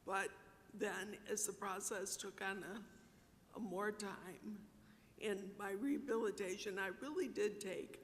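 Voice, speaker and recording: A woman giving an earnest, reflective award acceptance speech at a banquet, in what she calls her low voice. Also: fairly even intonation, takes it slowly. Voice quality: self-described low voice. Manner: reflective, earnest, with repeated words for emphasis. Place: large banquet hall, in front of a dinner audience. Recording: reverberant hall, distant mic, faint rumble.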